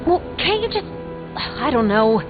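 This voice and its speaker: A direct superhero woman from a video game, coaxing her teammate along. Her voice is fairly high and very expressive. The sound is a little dull. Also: unhurried, over music. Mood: impatient, coaxing. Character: brisk woman superhero teammate on a comms call. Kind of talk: scripted video game voice acting.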